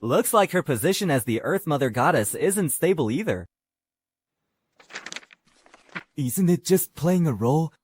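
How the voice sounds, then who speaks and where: Man acting a high, animated cocky young schemer. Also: normal speed, slight background noise, close to an everyday voice. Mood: smug. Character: cocky young male actor posing as a lord, flustered and scheming. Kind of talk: English dub of an anime-style motion comic drama.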